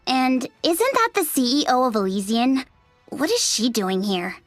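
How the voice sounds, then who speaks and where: A sassy, grumbling young woman soldier in a video game. Her voice is high and very animated, dramatic and sarcastic, recorded dry with faint music behind. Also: unhurried, no echo. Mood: puzzled. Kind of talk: English video game voice acting.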